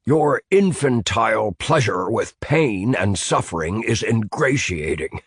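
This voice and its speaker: A man's voice: lowish voice, lively intonation, unhurried, slightly rough, no echo, clean studio sound, pitched above the performer's own voice, full character voice. A man voicing a pompous, villainous male weatherman who speaks with relish.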